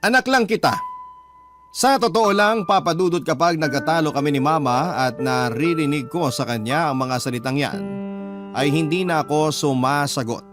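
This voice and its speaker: High-pitched male radio storyteller over music. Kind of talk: dramatic narration of a listener's letter. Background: clear background music.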